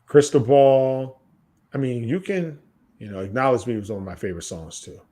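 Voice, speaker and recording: Male podcast host, medium pitch, casual and enthusiastic, slangy, leaning on repetition for emphasis. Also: normal speed, mellow. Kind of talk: casual music chat.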